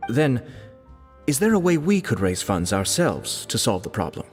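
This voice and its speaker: A man voicing a courteous, diplomatic young nobleman in a video game, formal and measured. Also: medium pitch, big pitch swings, in a large hall, lightly acted. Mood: calm, businesslike. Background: music.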